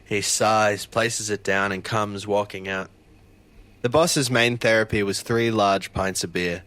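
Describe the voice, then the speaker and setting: A man's voice: lowish voice, steady tone, measured pace, a bit bright. A man playing an earnest, bewildered fisheries officer who narrates his own story in an audio drama. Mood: tense, recounting.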